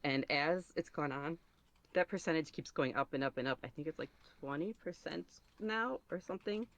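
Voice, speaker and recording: A man's voice: on the high side, moderate pace, fairly bright tone, no room sound. A man speaking casually as an interview guest, rambling and somewhat self-conscious as he goes. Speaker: podcast guest, ASMR roleplay creator.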